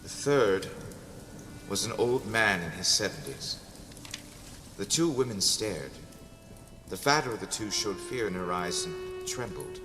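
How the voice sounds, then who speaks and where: A man giving a dramatic first-person narration of a story. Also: lowish voice. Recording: long reverb over background music. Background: music.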